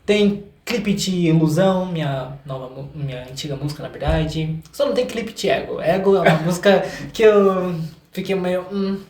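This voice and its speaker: Playful young Brazilian man, echoey room. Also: high voice. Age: young adult. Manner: playful, expressive, repeats words in quick bursts. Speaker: podcast guest, singer-songwriter. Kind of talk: casual interview chat.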